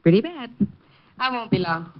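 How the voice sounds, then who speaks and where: Teasing girlfriend, lively tone, dull old radio sound. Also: a little high, moderate pace, slight background noise. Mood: gentle, teasing. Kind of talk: 1950s radio drama acting. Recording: dull 1950s radio broadcast recording.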